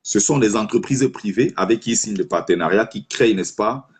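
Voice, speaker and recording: Husky low-voiced man arguing on a livestream. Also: fairly quick. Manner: argumentative, rhetorical, addresses listener directly. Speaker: livestream host taking callers. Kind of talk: opinionated live-stream commentary.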